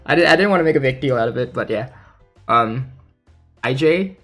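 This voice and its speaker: A man streaming casually about a game, reading aloud and thinking out loud in a hesitant way with plenty of filler words. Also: medium pitch, animated, normal speed, soft background music. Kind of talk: casual livestream commentary, reading aloud and reacting.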